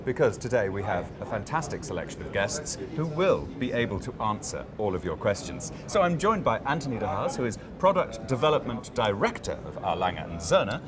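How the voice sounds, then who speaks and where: A man's voice: on the low side, sing-song, fairly quick, few pauses, over music. A man hosting a live panel interview at a busy trade fair, upbeat and chatty. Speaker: host. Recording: crowd chatter behind.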